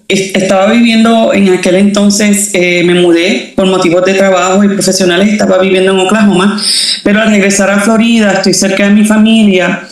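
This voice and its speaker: Puerto Rican woman guest, easygoing storyteller, boomy echoing room. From Puerto Rico. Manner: relaxed, many fillers and restarts. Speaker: interview guest, doctor. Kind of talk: casual faith testimony.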